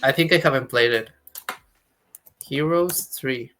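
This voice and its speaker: A man co-hosting a live stream, reading viewer questions aloud and reacting excitedly. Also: medium pitch, measured pace, a little hiss or hum. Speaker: co-host community manager. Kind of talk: casual live stream chat.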